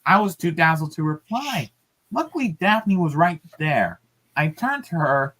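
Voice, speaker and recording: Story reader and host: animated, very varied pitch, informal dramatic delivery. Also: unhurried. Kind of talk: storybook read-aloud with casual asides.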